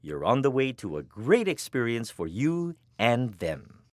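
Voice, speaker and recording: A male narrator reads a scripted instructional piece in a mid-pitched voice. His phrasing is deliberate and emphatic, with plenty of rise and fall. The sound is dry, with very little room on it. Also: very animated, dry recording. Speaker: training film narrator.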